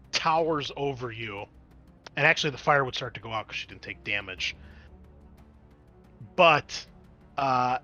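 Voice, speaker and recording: Bright-voiced man running a tabletop game. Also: medium pitch, dull audio. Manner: dramatic scene-setting mixed with casual asides. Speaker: game master and host. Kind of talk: narrating a tabletop roleplaying game.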